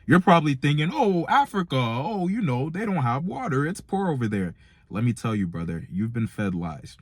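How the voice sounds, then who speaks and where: A man's voice: medium pitch, big pitch swings, moderate pace, no echo. A nineteen-year-old man with an American accent talking casually in a motivational vlog, slangy and speaking directly to his listeners. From Nigerian-born, grew up in America from age four. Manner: slangy, direct address to young men, "bro" and "man". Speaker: motivational vlogger.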